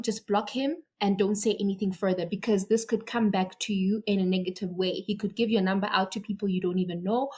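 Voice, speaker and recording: A young woman from Zimbabwe talks as a podcast guest in a relaxed conversational style. She tells her story with energy and often restarts her sentences. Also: medium pitch. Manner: animated storytelling with repeated restarts. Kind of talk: casual conversation.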